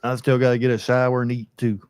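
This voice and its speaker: A man's voice: medium pitch, level tone, fast, darkish tone, dry recording, studio quality. A man in his forties with a Southern American accent, hosting a casual live chat. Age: 40s. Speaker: host.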